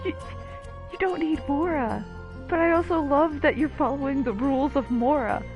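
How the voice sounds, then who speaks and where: A woman's voice: sits high, moderate pace, poor audio. A woman streamer giving casual playthrough commentary, full of sarcastic, teasing asides to the characters. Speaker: game streamer. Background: video game music.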